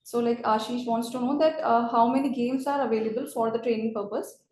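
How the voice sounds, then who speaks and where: A woman moderating a live webinar, casually relaying audience questions in a halting, filler-heavy way. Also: fairly high pitch, fairly even intonation, a little mellow. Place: indoor room, webinar call. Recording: echoey room with faint background noise.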